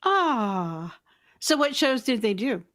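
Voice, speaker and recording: A woman's voice: a little high, very animated, takes it slowly, fairly bright tone. A woman acting as an announcer's sidekick in scripted radio sponsor banter, inquisitive and a little theatrical.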